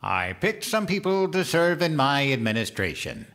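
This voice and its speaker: An actor playing a childlike, eager, guileless president-elect in a comedic audio drama. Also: male voice, fairly high pitch, sing-song, normal speed, a bit bright. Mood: naive, eager. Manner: guileless, simple-minded, earnest talk.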